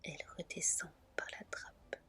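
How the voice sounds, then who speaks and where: A woman reading a fairy tale as an ASMR storyteller, in a gentle, soft, deliberate whisper.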